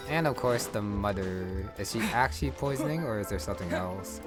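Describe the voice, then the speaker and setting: A man thinking aloud over gameplay in a relaxed, musing way, his voice sitting low. Game music plays clearly behind him. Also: brisk. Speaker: gaming channel host. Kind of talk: casual gameplay commentary.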